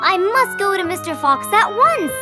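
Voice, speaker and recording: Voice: very high voice, very animated, moderate pace, loud music behind the voice. A performer voicing an eager young dragon in a children's cartoon, exclaiming dramatically. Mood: worried. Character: small eager boastful young dragon photographer, anxious. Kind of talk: children's cartoon character acting.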